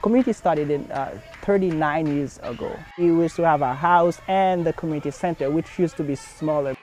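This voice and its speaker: A man's voice: fairly high pitch, brisk, background noise. A young man from Haiti speaking in an earnest documentary interview, with frequent fillers and restarts. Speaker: community center founder's son.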